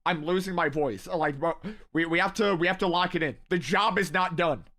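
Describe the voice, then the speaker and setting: A young man in his twenties hosting a live watch party, rambling excitedly to his chat and saying he is losing his voice. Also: on the high side, fairly quick. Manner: hyped, rambling, talks to chat.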